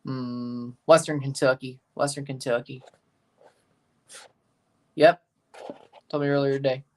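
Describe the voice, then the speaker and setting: A man's voice: medium pitch, fairly even intonation, unhurried. Southern American male streamer, loose and chatty, mild cursing, a bit distant from the mic. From United States. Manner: rambling, casual, mild swearing. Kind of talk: live match watchalong chat.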